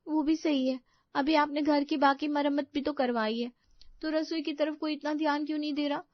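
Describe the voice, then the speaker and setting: A woman plays an exasperated young wife in a family story. Her voice is very high, whiny and emphatic, in a dry recording. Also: fairly even intonation, normal speed, no echo, lo-fi sound, close to an everyday voice. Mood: aggrieved. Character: young, exasperated, complaining younger daughter-in-law.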